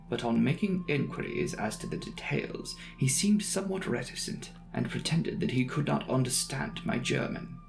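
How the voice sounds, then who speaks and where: Expressive male audiobook narrator with creaky edges. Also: medium pitch. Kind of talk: dramatic reading of a classic novel, scripted narration.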